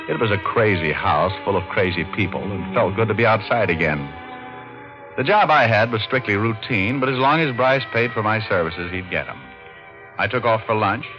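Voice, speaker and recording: An actor playing a wisecracking, cynical private detective in an old-time radio noir drama, with a dry, sardonic manner. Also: male voice, fairly low pitch, close to an everyday voice. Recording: muffled old radio recording with music.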